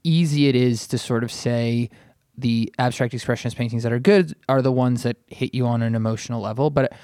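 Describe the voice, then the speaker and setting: A man's voice: mid-range voice, fairly even intonation, fairly quick, mellow, clear, resonant tone, dry recording, studio quality. A man hosting a podcast in a casual, opinionated way, discussing art informally. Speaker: podcast host.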